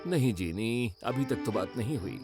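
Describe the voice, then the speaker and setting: A man's voice: lowish voice, animated, moderate pace. A man voicing a concerned, fatherly train station chief in a Hindi cartoon dub, authoritative yet reassuring. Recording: loud music under the voice.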